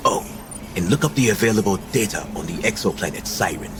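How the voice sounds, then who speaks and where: An actor playing a cynical, world-weary visionary leader in a science fiction audio drama, theatrical and dismissive. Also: male voice, on the low side, lively intonation, fast, over music, close to an everyday voice. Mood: brisk, commanding.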